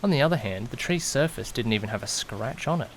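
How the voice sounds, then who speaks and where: A man's voice: mid-range voice, expressive, fast, slight background noise, lightly acted, rough recording. A man playing an anxious, easily panicked young man who narrates his own misadventure in an audio drama, dramatic and questioning himself. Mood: tense narration. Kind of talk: first-person audio drama narration with acted dialogue.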